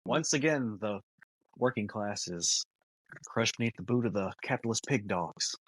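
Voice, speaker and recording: A man's voice: on the low side, animated, moderate pace, slightly rough, dry recording, rough recording. A man co-hosting a podcast, casually telling side anecdotes in a conversational way. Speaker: podcast co-host.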